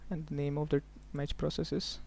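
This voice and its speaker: A man working as a software trainer, giving a step-by-step live tutorial in an instructional way, often prompting listeners to follow along. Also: a little high, monotone, a little fast, gravelly, slight background noise. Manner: instructional, often checking in with okay and look here.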